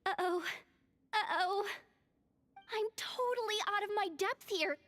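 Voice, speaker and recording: Tiny, very high-pitched eager companion. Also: female voice, a little slow, fairly bright tone, no room sound, faint music behind. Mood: flustered. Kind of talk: video game voice acting.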